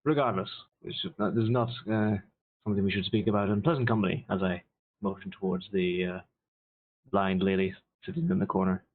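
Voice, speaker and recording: A man's voice: low-pitched, fairly even intonation, brisk. A man playing a tabletop roleplaying game online, bantering playfully and flirtatiously in character. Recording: tinny online call audio.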